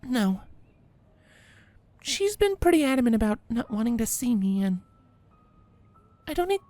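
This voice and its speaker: A woman's voice: fairly high pitch, big pitch swings, measured pace, warm tone, no echo, soft background music. A woman playing a polite but insistent mother mare in a cartoon-pony fan fiction audio drama.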